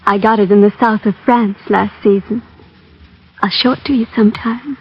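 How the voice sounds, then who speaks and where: A teasing, wealthy young woman in a vintage radio drama. Her voice is soft and breathy and her delivery coy. The broadcast sound is dull and old. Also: medium pitch, steady tone, moderate pace. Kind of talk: 1950s radio drama acting.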